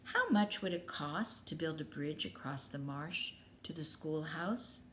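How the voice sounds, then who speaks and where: A woman voicing excited small schoolchildren in a storybook, eager and pleading, calling out insistently. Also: a little slow. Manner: high, loud, insistent calling. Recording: clearly noisy background.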